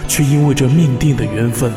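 A solemn storyteller voiced by a man narrates a martial-arts drama in literary phrases. His medium-pitched voice rings with long echoing reverb over background music. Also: a little fast, lightly acted. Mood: solemn, wistful. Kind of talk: scripted narration for period audio drama. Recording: very echoey reverb under music.

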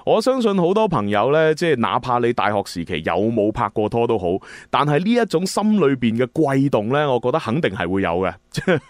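A man with a Cantonese accent, hosting and narrating a radio drama in an expressive, conversational way. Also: mid-range voice, fairly quick, few pauses. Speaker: radio host and storyteller. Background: music.

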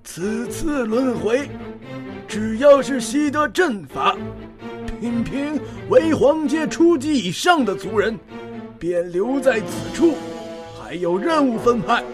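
Solemn clan elder, very high raised voice, drawn-out declamation, fantasy audio drama, music behind. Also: male voice, animated, exaggerated voice. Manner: slow, declamatory proclamation. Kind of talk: Chinese fantasy radio drama acting.

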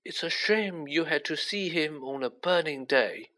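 A man voicing a gentle, wise old wizard headmaster in a storybook, speaking softly, with measured deliberation. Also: fairly high pitch, voice pushed up. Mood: fond, serene. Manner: measured, soft-spoken, deliberate.

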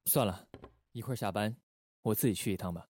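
A man playing a calm, shrewd secret-service station chief with understated authority in a radio drama. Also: fairly low pitch, brisk, breathy edge. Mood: pensive, commanding.

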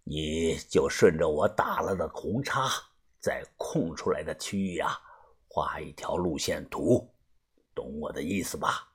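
A narrator voicing a weary, hushed gang boss giving instructions in a breathy murmur in a story. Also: extremely low pitch, lively intonation, a little slow, pitched below the performer's own voice, heavily acted. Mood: tired, instructing.

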